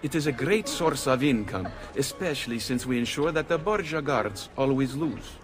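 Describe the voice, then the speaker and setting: An actor playing a shrewd, wary Italian leader of a thieves' guild in a dramatic game scene. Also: male voice, medium pitch, animated, moderate pace, close to an everyday voice. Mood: warming, confiding. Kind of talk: dramatic video game acting.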